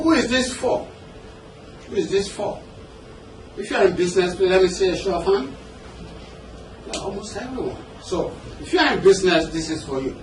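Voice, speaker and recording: A man's voice: sits high, a bit bright. A man with a Nigerian accent giving a motivational seminar talk as a business coach, conversational and often checking in with questions. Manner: conversational, engaging the audience with questions and check-ins. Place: indoor seminar room with an audience. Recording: roomy, noisy seminar hall, distant mic.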